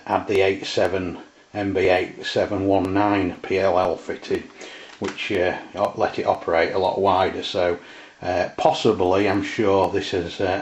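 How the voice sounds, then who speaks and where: A British man with a low voice talks through a piece of kit in a casual, halting, unscripted way. He sounds like a hobbyist presenting from behind his own camera. The sound is roomy and somewhat dull, picked up indoors by the camera's microphone. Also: a little mellow. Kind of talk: casual product walkthrough. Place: indoors in a domestic kitchen. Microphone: camera mic, behind the camera.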